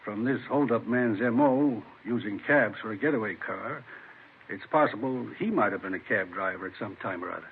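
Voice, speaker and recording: An actor playing a cooperative, matter-of-fact police investigator in a radio crime drama. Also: male voice, fairly low pitch, moderate pace, lightly acted. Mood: measured. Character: measured, cooperative male police investigator offering a theory. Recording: narrow-band old radio, faint noise.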